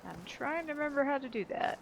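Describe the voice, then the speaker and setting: A woman's voice: sits high, lively intonation, fast, creaky, noisy background. A woman narrating her own gameplay in a casual, upbeat way, thinking aloud as she goes. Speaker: streamer playing and narrating a video game.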